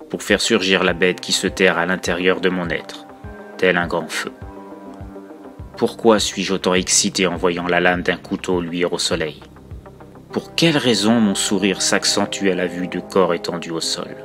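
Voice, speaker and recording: A man reciting a horror poem as a morbid, tormented young narrator, in a solemn, measured, literary way. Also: deep voice, fairly bright tone. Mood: brooding, tormented, then morbid, anguished. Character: morbid, tormented child narrator with murderous urges. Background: music.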